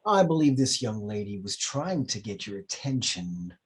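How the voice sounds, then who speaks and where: Guy reading a worried polite priest, kinda raspy, hesitant, pitch moves around a lot, film script drama. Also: big pitch swings, normal speed. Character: troubled, concerned, polite male priest. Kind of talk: screenplay table read.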